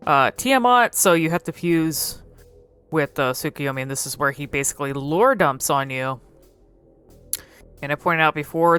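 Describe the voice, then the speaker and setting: Low-voiced, bright woman doing game commentary. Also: clean tone. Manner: halting, musing, thinking aloud. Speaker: gaming video creator. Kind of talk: casual exploratory game commentary.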